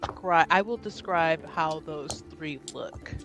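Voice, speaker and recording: A woman's voice: low-pitched, slow pace, fairly bright tone, faint music behind. A woman chatting casually during a streamed tabletop roleplaying game.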